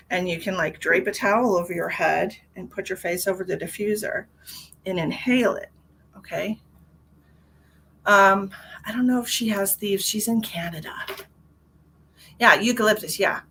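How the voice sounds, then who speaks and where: A woman hosts a casual livestream from her car, her voice bright and forward. She rambles in a chatty way and answers viewers' comments as they come in, sounding a little stuffy. A faint hiss of noise sits under her voice. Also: medium pitch, measured pace, long pauses. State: stuffy nose. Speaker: tarot reader hosting a livestream.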